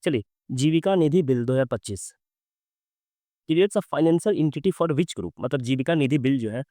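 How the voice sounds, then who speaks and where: A young man from Bihar, teaching exam quiz questions in with a sore, hoarse throat, reading English questions slowly and explaining with many fillers. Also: medium pitch, darkish tone, no echo, clean studio sound.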